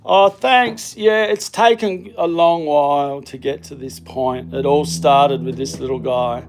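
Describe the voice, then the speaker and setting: Slow, gravelly-voiced rambling man. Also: fairly high pitch, slight background noise. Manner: rambling, hesitant, self-deprecating. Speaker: vlogger. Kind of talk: casual home tour chat. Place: home hallway and studio room.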